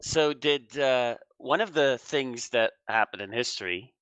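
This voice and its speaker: A man giving casual game commentary on history, halting as he searches for words. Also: mid-range voice, a bit bright, clean tone, no room sound. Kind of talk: casual historical chat over gameplay. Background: music.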